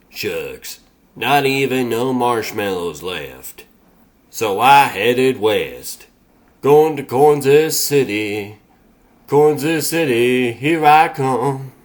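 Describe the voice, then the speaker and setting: A man voicing a slow-witted, folksy turtle superhero in a comic dub, with a rambling American Southern drawl. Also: medium pitch. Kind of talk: comic dub character acting.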